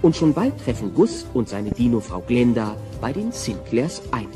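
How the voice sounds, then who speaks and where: Audio drama narrator: man, mid-pitched, scripted storytelling over a music bed. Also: very animated, moderate pace.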